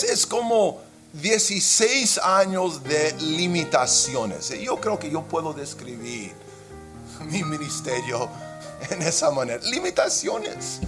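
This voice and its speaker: A man preaching a sermon in Spanish, though English is his first language, with impassioned delivery and occasional slips into English. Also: fairly high pitch. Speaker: pastor.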